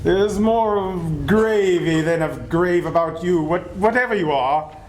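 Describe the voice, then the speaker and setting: A man's voice: a little slow, darkish tone. A man playing a cantankerous, miserly old man in a dramatic stage reading, curt, scornful and emphatic. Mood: sceptical. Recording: echoey room, background noise and rumble.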